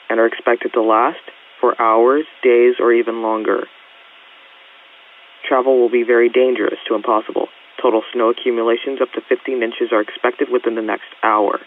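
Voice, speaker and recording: A synthetic man's voice delivering a scripted emergency weather warning as a formal, measured announcer. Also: medium pitch. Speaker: automated weather alert announcer. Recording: hissy, tinny weather radio transmission.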